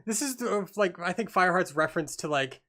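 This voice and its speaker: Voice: medium pitch, expressive, brisk, a bit bright. Opinionated podcast panelist, casual chat. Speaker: book club podcast panelist. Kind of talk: casual group book discussion.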